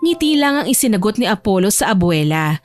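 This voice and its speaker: A woman's voice: mid-range voice, very animated, fairly quick, soft background music. A woman narrating a scripted romantic audio drama as a storyteller.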